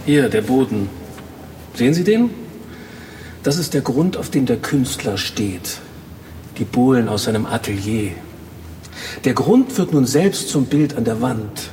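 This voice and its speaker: An actor playing a brooding, cryptic writer in a radio drama, musing aloud and speaking in riddles. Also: male voice, mid-range voice, animated, unhurried, pauses often, warm tone, a little hiss or hum, natural voice. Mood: intense explaining. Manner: musing, probing, gives oracular answers. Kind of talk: German radio drama acting.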